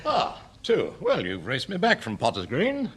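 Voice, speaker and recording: An actor playing a pompous, flustered and work-shy senior English civil servant in a radio sitcom. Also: male voice, on the high side, huge pitch swings, takes it slowly, full character voice. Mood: blustering, complacent. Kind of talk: British radio sitcom acting. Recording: noisy old radio comedy recording.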